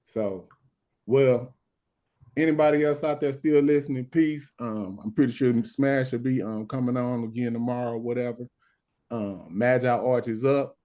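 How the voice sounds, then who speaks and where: A man in runs a live stream late at night, worn out, breaking off and restarting his points. His voice sits in an ordinary middle pitch. He sounds measured even while arguing. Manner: halting, many restarts and "hold on". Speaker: stand-in host of a live stream. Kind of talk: casual live-stream talk.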